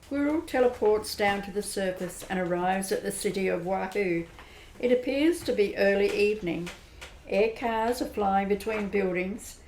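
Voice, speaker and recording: A woman narrating an amateur radio drama in a steady, descriptive way. Her voice sits at a middle pitch. The recording has a roomy echo around it. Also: moderate pace, a little hiss or hum.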